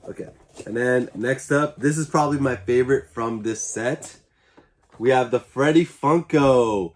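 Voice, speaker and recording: A man's voice: mid-range voice, a little slow, a little hiss or hum. Congested, upbeat young man unboxing collectibles. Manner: upbeat, enthusiastic, chatty. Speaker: YouTube collector channel host. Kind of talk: casual unboxing vlog.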